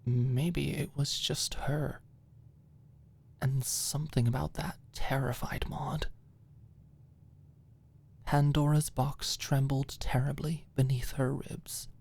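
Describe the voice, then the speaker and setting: A woman's voice: very deep voice, warm tone. A woman narrating scripted fiction as an ASMR audiobook storyteller.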